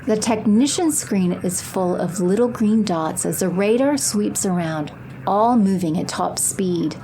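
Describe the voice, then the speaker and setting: A woman's voice: mid-range voice, lively intonation, measured pace, mellow, slight background noise, low-quality recording. A woman reading scripted third-person narration for an audio drama.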